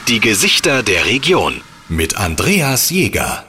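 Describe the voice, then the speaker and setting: A man announcing a radio station ID in a slow, drawn-out delivery, set over a jingle. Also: fairly low pitch, wildly swooping pitch, very noisy. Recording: announcement over loud music.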